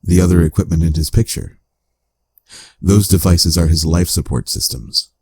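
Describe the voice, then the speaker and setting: A man playing a calm, analytical AI companion in a cyberpunk audio drama, speaking in a measured, formal, clinical way. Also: sits low, breathy edge, dry recording. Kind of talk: scripted audio drama narration.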